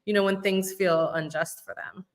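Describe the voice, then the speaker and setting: A woman's voice: medium pitch, steady tone, moderate pace. A woman who leads a youth organization, talking casually in a panel discussion and thinking aloud with lots of "like" fillers.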